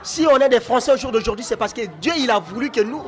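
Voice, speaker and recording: A man's voice: very high voice, quick pace. A musician from Congo living in France, speaking earnestly and insistently in casual talk, often restarting his sentences. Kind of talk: casual chat.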